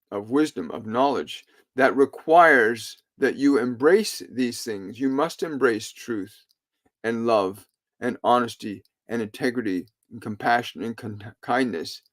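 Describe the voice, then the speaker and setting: A man delivering a solemn spiritual sermon in formal, oratorical phrasing, breaking it into short, halting phrases with frequent pauses. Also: medium pitch. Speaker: spiritual channeler delivering a message.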